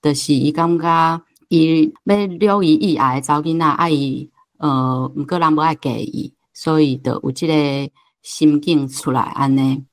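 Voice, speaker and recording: A woman's voice: sits low, fairly even intonation, a little slow. A woman with a Taiwanese accent giving reflective, unscripted commentary as a guest, with frequent hesitations and trailing particles. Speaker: book club host, guest speaker.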